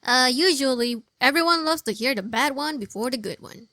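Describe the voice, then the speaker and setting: High-voiced woman chatting over gameplay, dry mic. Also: lively intonation, pushed and bright, no room sound. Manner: halting, repeats phrases, chatty. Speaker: gaming video host. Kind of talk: casual game playthrough commentary. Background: faint game music.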